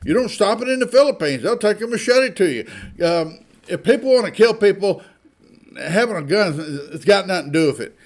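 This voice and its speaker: A man's voice: sits high, lively intonation, fairly quick. A man giving informal, opinionated news commentary in a blunt, folksy, indignant manner, often throwing out rhetorical questions. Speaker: online commentator.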